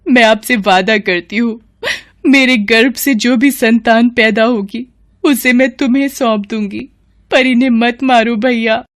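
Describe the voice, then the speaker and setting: A woman's voice: a little high, steady tone, unhurried, close to an everyday voice. Woman acting a pleading, sorrowful young mother. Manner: melodramatic pleading. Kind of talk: Hindi mythological audio story acting.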